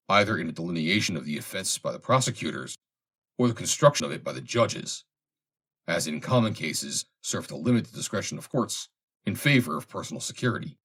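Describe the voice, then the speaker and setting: Low, husky man reading formally. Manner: measured, formal, deliberate phrasing. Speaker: reader, narrator. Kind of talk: scripted reading of a formal historical essay.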